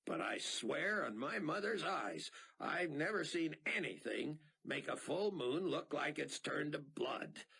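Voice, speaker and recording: A man's voice: fairly high pitch, creaky, pushed and bright, breathy edge, pitched above the performer's own voice, full character voice. A man doing a blunt folksy pilot. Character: blunt, plainspoken middle-aged male pilot.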